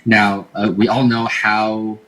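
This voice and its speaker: A man's voice: fairly low pitch, level tone, takes it slowly, pushed and bright, low-quality recording. A man from Taiwan, a podcast guest, explaining his plans in a thoughtful, casual way and often repeating words as he thinks. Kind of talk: casual interview talk.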